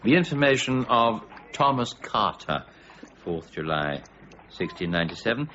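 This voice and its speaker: An actor playing a learned, middle-aged official in a period radio drama, formal, precise and a little hesitant. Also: male voice, fairly low pitch, big pitch swings, normal speed, sharp tone, muffled recording, slight background noise. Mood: businesslike.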